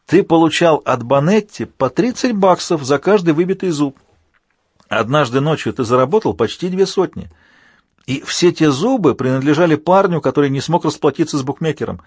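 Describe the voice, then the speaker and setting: A man voicing an insolent, taunting villain in a high, drawn-out voice for an audiobook. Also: lively intonation, breathy edge, no echo, studio quality. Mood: mocking. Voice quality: high-pitched voice. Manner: mocking, drawn-out delivery. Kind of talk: dramatic audiobook character voice.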